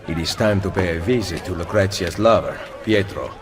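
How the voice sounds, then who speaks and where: An actor playing a stern, noble assassin leader in a video game, measured and solemn, with an Italian accent. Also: male voice, deep voice, natural voice. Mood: firm. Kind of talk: dramatic video game cutscene acting. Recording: loud music behind the voice.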